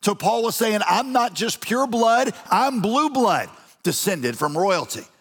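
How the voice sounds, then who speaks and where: A man preaching a sermon as pastor, animated and folksy with touches of humor. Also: high-pitched, a little slow. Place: large church auditorium. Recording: long reverb of a church hall.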